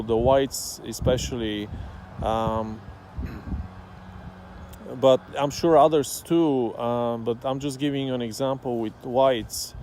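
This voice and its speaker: A man from Bulgaria whose first language is Bulgarian, talking casually and thoughtfully with many pauses and fillers. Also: medium pitch, darkish tone, a little hiss or hum. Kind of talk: casual talk to camera.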